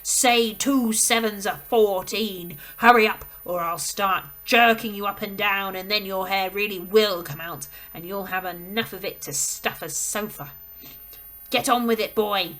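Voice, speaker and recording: A woman voicing a huge, brutal headmistress who bellows her orders slowly and with heavy emphasis. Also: medium pitch, steady tone, fairly bright tone, slightly rough, poor audio. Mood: bellowing. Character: huge, brutal female headmistress, bullying and thunderous.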